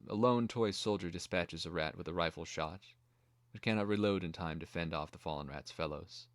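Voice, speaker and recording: A man narrating a screenplay as a scripted dramatic reading, in a measured way. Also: sits low, no echo.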